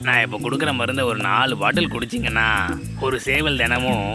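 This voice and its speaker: A man's voice: mid-range voice, a little fast, close to an everyday voice. A man voicing a sly, hungry cartoon predator who poses as a wheedling folk medicine peddler. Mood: salesman patter. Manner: scheming, sing-song hawking, wheedling. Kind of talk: children's cartoon voice acting. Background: loud music.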